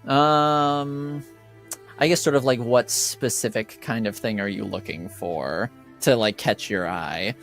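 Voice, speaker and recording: A man's voice: mid-range voice, slow, a bit bright. A man running a tabletop roleplaying game, improvising narration as game master and often searching for his words. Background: background music.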